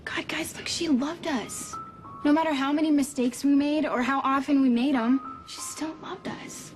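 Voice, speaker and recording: A woman's voice: high voice, steady tone, moderate pace, lightly acted. An American actress playing a headstrong young woman, pleading and insistent, in a soap opera style. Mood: impassioned, pleading. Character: headstrong young woman set on defending her great-grandmother's honor. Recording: dramatic music behind the dialogue.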